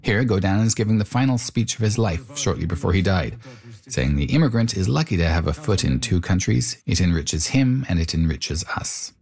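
A man reporting and narrating a radio news feature in a measured, explanatory way. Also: sits low, expressive, creaky, darkish tone, husky.

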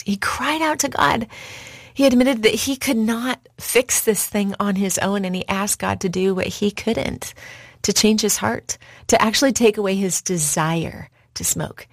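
A woman's voice: medium pitch, moderate pace, no room sound. A woman radio host telling a short personal story as a scripted devotional spot.

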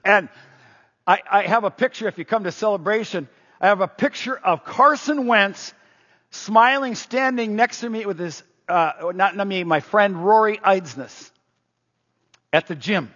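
A middle-aged man preaches with a high, husky voice, talking casually with the congregation and tossing them questions. His voice rings out in a long hall reverb, and the recording sounds dull and muffled. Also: measured pace, a bit bright. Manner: conversational, asks the congregation questions. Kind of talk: sermon.

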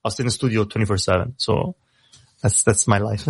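A man with an Italian accent, speaking English as a second language, who talks fast as a rapper guest on a radio show and often repeats words as he starts a thought. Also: on the low side, slightly rough, no room sound. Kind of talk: casual radio interview.

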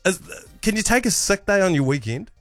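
A man's voice: high-pitched, very animated, brisk, no room sound, clean studio sound, soft background music. A man in his thirties with a New Zealand accent, chatting casually as a breakfast radio host. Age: 30s.

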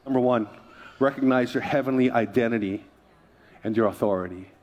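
A man's voice: medium pitch, brisk, darkish tone, slight background noise. A man from Hawaii preaching a sermon as a pastor, emphatic, repeating phrases and prompting his listeners. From Hawaii, United States.

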